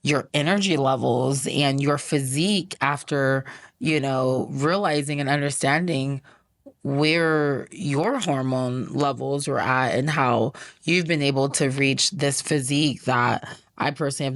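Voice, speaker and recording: A woman's voice: very deep voice, no room sound. Chatty woman hosting a podcast, dry sound. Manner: chatty, rambling, with fillers. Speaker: podcast host. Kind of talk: casual interview talk.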